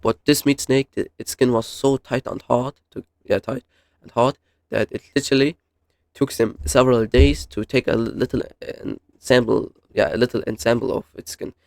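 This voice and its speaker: Man, casual explainer, halting and rambling, creaky voice, dry close sound. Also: medium pitch, fairly even intonation, darkish tone, no echo. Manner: halting, rambling, self-correcting. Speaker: YouTube host reviewing horror videos. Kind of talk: casual unscripted explainer commentary.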